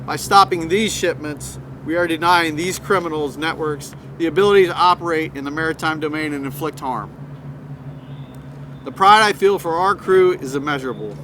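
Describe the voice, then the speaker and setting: A Coast Guard captain delivering a formal, measured prepared statement at an outdoor press event. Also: male voice, a little high, sharp tone, rough recording. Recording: outdoor traffic noise.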